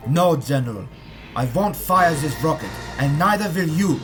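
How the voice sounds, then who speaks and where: An actor playing an earnest wartime weapons scientist in an audio drama, speaking solemnly and insistently. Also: male voice, fairly high pitch, expressive, moderate pace, over music, close to an everyday voice. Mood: urgent.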